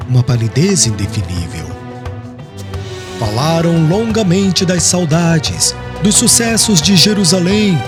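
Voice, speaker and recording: Male narrator for a spiritual audiobook; high, lively voice with clear music underneath. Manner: expressive, measured reading. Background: background music.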